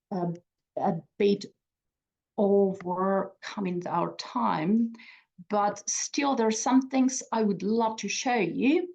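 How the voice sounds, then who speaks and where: A Ukrainian woman in her forties, a native Russian speaker, giving a conference talk in a reflective way, with restarts and soft laughs. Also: medium pitch, poor audio. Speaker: museum speaker.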